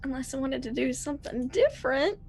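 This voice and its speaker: Female tabletop player: high-pitched, animated, casual jokey asides, slight noise. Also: fairly quick. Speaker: player in a tabletop role-playing game. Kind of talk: casual, jokey game chat.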